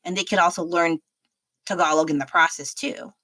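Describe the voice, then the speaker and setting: A woman's voice: fairly low pitch, fairly even intonation, a little fast, bright, forceful tone. A young American woman chatting frankly and thinking aloud during a late-night livestream, laughing often. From United States. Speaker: livestreaming artist. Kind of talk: rambling livestream chat while painting. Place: home at night.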